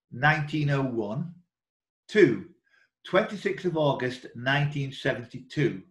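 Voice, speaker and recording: A man hosting a live quiz, reading out questions in an indoor room on an online group call. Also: mid-range voice. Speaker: quiz host and question reader. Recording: roomy, echoing online call audio.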